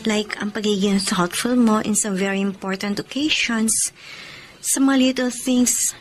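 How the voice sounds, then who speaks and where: A woman's voice: medium pitch, steady tone, unhurried, a little hiss or hum, lightly acted. An actress playing a tender, grateful young woman confiding her love story in a sentimental, earnest Filipino radio drama style. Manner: sentimental, earnest, code-switching Tagalog and English. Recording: music under the voice.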